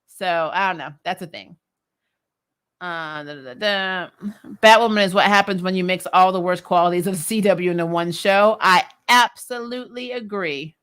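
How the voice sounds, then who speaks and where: A woman's voice: fairly low pitch, moderate pace, fairly bright tone, clean tone. Blunt, giggly woman chatting casually. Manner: blunt, opinionated, exasperated. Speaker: host of a TV recap livestream. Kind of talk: casual chat, reading and answering viewer comments.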